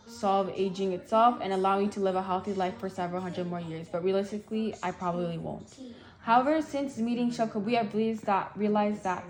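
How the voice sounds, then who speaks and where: A teenage girl speaking haltingly, sometimes stumbling over her words as she reads or answers questions. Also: mid-range voice, a little mellow. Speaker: guest reading an article aloud and answering questions. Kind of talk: halting read-aloud and shy interview answers.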